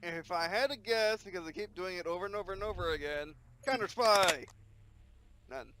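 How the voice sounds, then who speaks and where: A man commenting on his own strategy game playthrough, excitable and jokey, talking back to the game. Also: high-pitched, normal speed, noisy background. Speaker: gamer playing a strategy game. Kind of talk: let's play commentary.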